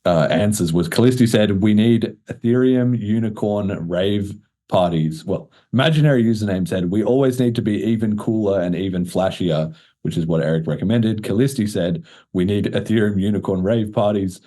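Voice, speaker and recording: A man's voice: fairly low pitch, moderate pace, warm tone. A man hosting a podcast in a casual, chatty style with informal, slangy talk. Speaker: podcast host.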